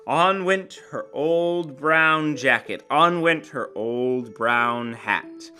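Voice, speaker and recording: A man's voice: on the high side, extremely slow, bright, forceful tone. A man narrates a classic short story as an emphatic, theatrical dramatic reading, savoring his phrases. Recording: guitar music behind the voice. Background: plucked guitar music.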